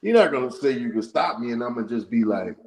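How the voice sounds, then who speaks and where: A man in his forties, co-hosting a casual boxing chat, talking in a profane, slangy, animated way and acting out what others might say. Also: mid-range voice, fast. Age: 40s. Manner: acts out quoted remarks. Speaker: podcast co-host.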